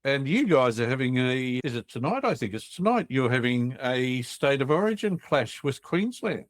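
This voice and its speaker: A man's voice: mid-range voice, sing-song, moderate pace, no echo, studio quality. A man hosting a business podcast, interviewing in an upbeat, jovial way. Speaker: podcast host. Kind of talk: casual interview chat.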